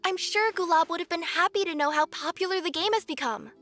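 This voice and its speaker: Very high, bright cat-girl courier. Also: brisk, soft background music. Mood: encouraging. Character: polite, earnest young cat-girl courier with tails. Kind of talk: English dubbed anime game acting.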